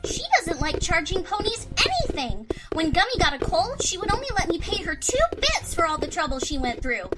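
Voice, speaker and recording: A woman voicing a bubbly, excitable young cartoon pony with a gushing, chatty manner. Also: very high voice, fairly quick, hardly any pauses, over loud music, poor audio. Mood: cheerfully gushing. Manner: gushing, enthusiastic, rambling. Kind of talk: fan audio drama acting.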